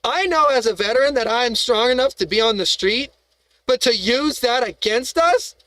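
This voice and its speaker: A man with a fairly high voice hosts a live stream and talks in a heated, rambling rant. He swears freely, restarts his sentences and keeps throwing rhetorical questions at his viewers. The sound is dry and close, with no room around it. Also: animated, normal speed, sharp tone, dry recording, low-quality recording.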